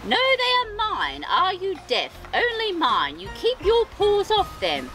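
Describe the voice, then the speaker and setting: A woman's voice: very high-pitched, huge pitch swings, slow, faint music behind, pitched above the performer's own voice, heavily acted. Woman putting on a greedy, grumbling pug, wildly swooping. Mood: grumpy, indignant. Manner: grumbling, shouting, possessive. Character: greedy, selfish, grumbling male pug dog.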